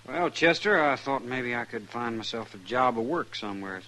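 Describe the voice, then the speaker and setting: Humble young cowboy, bright-edged voice man acting. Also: mid-range voice, normal speed, natural voice, rough recording. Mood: humble, hopeful. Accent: American Western. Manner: mild, polite, folksy. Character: polite, humble young horse-wrangler cowboy. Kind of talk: old-time radio western acting.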